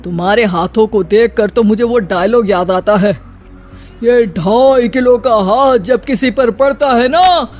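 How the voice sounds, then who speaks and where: A performer voicing an older father-in-law in a cartoon story, a teasing husband with theatrical, drawn-out calls. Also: mid-range voice, soft background music, lightly acted. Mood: mocking.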